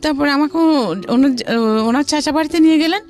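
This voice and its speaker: A woman's voice: sits high, brisk, a little mellow. A woman with a Bangladeshi accent telling her personal story as an interview guest, often relaying what others said.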